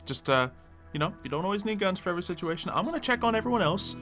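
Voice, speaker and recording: A man's voice: sits high, lively intonation, rapid-fire, bright, forceful tone. Easygoing peacemaker survivor, zombie game roleplay, male player, casual conversational delivery, music underneath. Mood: easygoing, cautious. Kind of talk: improvised video game roleplay, casual chat.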